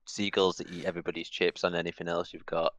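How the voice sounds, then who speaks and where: A man's voice: sits low, level tone, fast talker, background noise. A man hosting a podcast, talking easily and trailing off with fillers. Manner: easygoing, trailing off, many fillers. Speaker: podcast host. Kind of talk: casual podcast chat.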